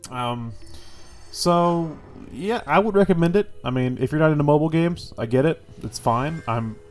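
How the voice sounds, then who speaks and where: A man's voice: a little high, normal speed, faint music behind. A man with a stuffy, congested voice giving casual, rambling impressions of a game as host of his gaming channel, full of fillers and the odd swear. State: congested from a cold.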